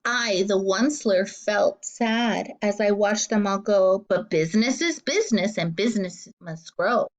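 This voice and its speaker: A woman reads a children's storybook aloud in a mid-pitched voice. She goes slowly and deliberately, leaning on key words with dramatic emphasis, as a teacher does for a young class. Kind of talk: expressive storybook read-aloud.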